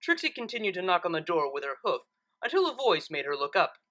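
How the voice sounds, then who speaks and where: A woman's voice: on the low side, sing-song, fast talker, fairly bright tone. A woman hosting and reading stories, speaking casually and emphatically with enthusiasm. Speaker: channel host and story reader. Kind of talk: casual vlog-style update and story narration.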